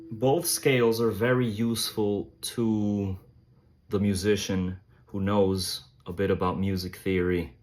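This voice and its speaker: A man with a low voice giving a casual, thoughtful demonstration on a phone vlog, talking slowly with soft pauses. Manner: slow, thoughtful, reflective talk with soft fillers. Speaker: musician reviewing handpan drums. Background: tonal drum playing.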